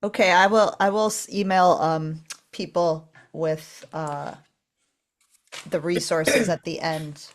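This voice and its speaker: A woman's voice: on the low side, fairly even intonation, slow. Woman hosting, hesitant on a video call. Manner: brief, hesitant logistics questions. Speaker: library event host.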